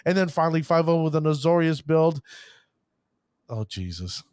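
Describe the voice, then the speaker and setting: A man's voice: a little high, sing-song, moderate pace, darkish tone, clean tone, dry recording, clean studio sound. A man hosting a card-game show, talking with showman flourishes and stumbling over player names. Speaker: podcast host. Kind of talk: hype-filled casual show talk.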